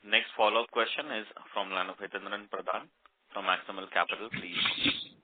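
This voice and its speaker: A man's voice: sits low, steady tone, fairly quick, dry recording. A man formally moderating a conference call. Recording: distant, narrow phone conference line.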